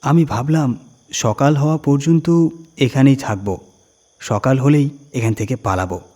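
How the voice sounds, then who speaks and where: Guy reading a creepy story, kinda husky voice, dramatic and suspenseful, first person. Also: mid-range voice, a little mellow. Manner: suspenseful, deliberate phrasing with dramatic emphasis. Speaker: horror story narrator. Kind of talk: dramatic scripted first-person horror narration.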